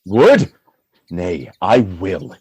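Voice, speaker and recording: A man playing a jealous, tormented general in a Shakespearean radio drama, husky and declamatory, swinging between hushed brooding and outcry. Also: low-pitched, wildly swooping pitch, very slow, dry recording, heavily acted. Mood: menacing, tormented.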